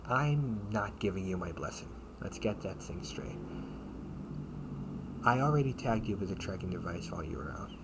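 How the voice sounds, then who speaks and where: A man's voice: sits low, expressive. Man acting a menacing older brother, noisy recording. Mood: smug, menacing. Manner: slangy, halting, drawn-out casual menace. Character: protective older brother, casually menacing, sardonic captor. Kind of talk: amateur audio roleplay acting. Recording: noisy amateur home recording.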